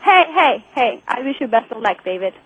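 A woman calling in to a talk radio show. She has a slight foreign accent and talks very fast and insistently. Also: on the high side, big pitch swings, fairly bright tone, slightly rough. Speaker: caller. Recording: tinny phone line.

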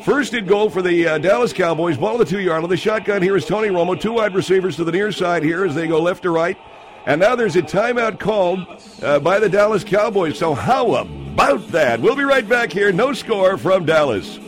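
A man's voice: high voice, big pitch swings, normal speed. A man doing a comedic football play-by-play, rambling with many fillers and digressions. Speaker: sports announcer.

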